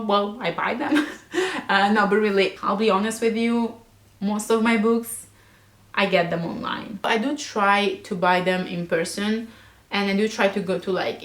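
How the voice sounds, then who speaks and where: Chatty young woman, echoey room. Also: medium pitch. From Italy. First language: Italian. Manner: chatty and a bit nervous, fillers like "like", quick laughs. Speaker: book vlogger. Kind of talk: casual chat to camera.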